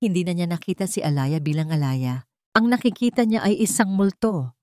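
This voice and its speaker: Female narrator, Filipino accent, low register, scripted romantic drama, very dry sound. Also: expressive, brisk, dark tone, no room sound. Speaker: story narrator.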